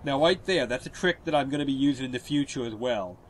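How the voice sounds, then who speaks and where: A man's voice: medium pitch, fast, a little hiss or hum. Stuffy-nosed man explaining game tricks. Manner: relaxed, explanatory, tip-giving. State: stuffy from a cold. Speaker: gameplay commentator. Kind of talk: game walkthrough voice-over.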